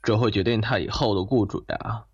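A man's voice: fairly low pitch, fairly even intonation, fairly quick, lo-fi sound, a little hiss or hum, close to an everyday voice. A man playing a worldly middle-aged member of a wealthy family in an audio drama, opinionated and matter-of-fact. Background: loud music and clinking tableware.

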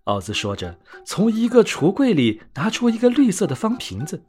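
Guy reading a bedtime tale for little kids, super expressive, mid pitch, bit of music behind. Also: normal speed. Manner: expressive, clearly phrased for children. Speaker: children's story narrator and host. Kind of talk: scripted bedtime storytelling. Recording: soft music under narration.

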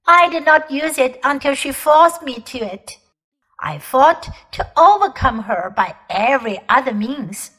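A woman reader voices a cruel, ancient queen who speaks as though the whole world were hers. The storybook voice is high and lilting, rising and falling widely. She delivers every line slowly, as a grand, haughty declamation. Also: expressive, bright, forceful tone, heavily acted, rough recording. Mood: proud. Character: proud, ruthless ancient queen, tall and imperious. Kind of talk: audiobook character reading.

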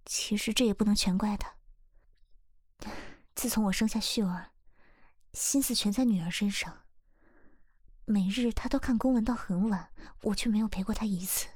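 Actress as a breathy, gentle young wife. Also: female voice, mid-range voice, moderate pace, pauses often, no room sound, studio quality, lightly acted. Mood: regretful. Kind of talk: Chinese period radio drama acting.

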